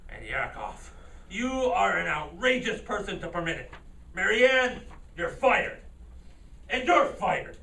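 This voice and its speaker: A blustering, scornful reality-show boss, acted by a man in a staged reading. The voice is high and swings widely as it berates with theatrical indignation. It is heard from far off in an echoey room. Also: sing-song, slow, creaky, bright, forceful tone, exaggerated voice. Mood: furious.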